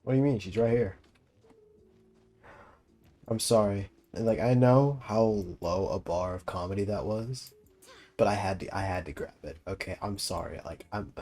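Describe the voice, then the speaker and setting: A man's voice: on the low side, expressive, moderate pace, long pauses, a little mellow, slightly rough. A young man giving casual, joking game reaction commentary, teasing and slangy. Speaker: gaming video host.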